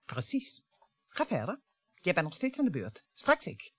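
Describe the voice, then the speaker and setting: An actress playing a cool, cutting French bourgeois wife and regretful mother in a radio play, with pointed, deliberate phrasing. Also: fairly low pitch, wildly swooping pitch, darkish tone, slightly rough, no room sound, full character voice.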